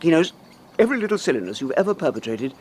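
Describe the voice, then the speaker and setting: An actor playing an anxious middle-aged English husband in a radio science fiction mystery, with a British accent and halting, agitated speech that repeats words. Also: male voice, fairly high pitch, big pitch swings, a little mellow, slight background noise. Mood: anguished. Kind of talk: radio drama acting.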